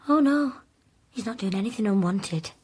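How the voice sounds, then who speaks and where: An actress playing a modest young lady's maid with an English accent in a period radio drama, soft-spoken, deferential and quietly teasing. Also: medium pitch, lively intonation, moderate pace, slight background noise, close to an everyday voice.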